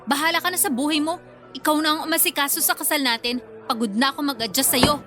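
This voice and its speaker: Casting: feisty young Filipina fiancée, very high voice, moody and teasing, radio drama with music underneath. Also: female voice, quick pace, sharp tone. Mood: hurt, angry. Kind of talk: radio drama acting in Tagalog.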